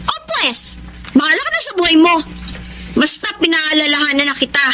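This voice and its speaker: A woman's voice: sits high, very animated, a little fast. A woman playing an exasperated, jealous live-in girlfriend in an emotional, nagging Tagalog radio drama style. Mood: angry scolding. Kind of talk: Tagalog radio drama acting, with letter narration.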